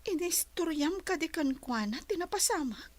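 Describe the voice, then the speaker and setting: A woman acting a soft-hearted office worker getting over a breakup in an Ilocano radio comedy drama, with a Filipino Ilocano accent. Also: extremely high pitch, expressive, normal speed, no echo. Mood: hesitant. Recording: loud hum and noise behind.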